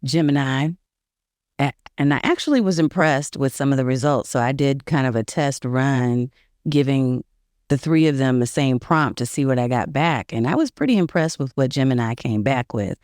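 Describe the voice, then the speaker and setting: A woman's voice: very deep voice, moderate pace, a little mellow, dry recording, clean studio sound. A woman, a video marketing coach, speaking as a guest on a podcast in an upbeat, casual way, laughing easily. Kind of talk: casual interview.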